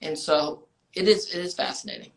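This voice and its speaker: A woman's voice: fairly low pitch, steady tone, a little fast, poor audio. A woman from the United States hosting a film club chat, loose and chatty with lots of fillers and restarts. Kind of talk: casual livestream chat.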